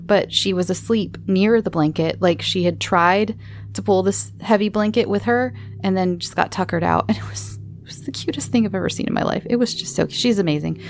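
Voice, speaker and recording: A woman's voice: mid-range voice, fairly quick, soft background music. A woman hosting a podcast, chatting casually and in a rambling, informal way as she answers listeners. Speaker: podcast host. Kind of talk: casual chat answering listener voicemails and questions.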